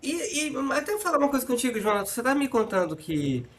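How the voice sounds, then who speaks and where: Animated male podcast host, fairly high voice. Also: fast, a little hiss or hum. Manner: animated storyteller who acts out quoted dialogue. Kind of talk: conversational podcast hosting.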